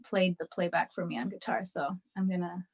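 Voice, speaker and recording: Soft-spoken woman, halting, full of ums. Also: fairly low pitch, steady tone, breathy edge, highs cut off. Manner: soft-spoken and hesitant, with many fillers and restarts. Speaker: singer and podcast guest. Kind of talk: casual video-call conversation. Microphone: video call.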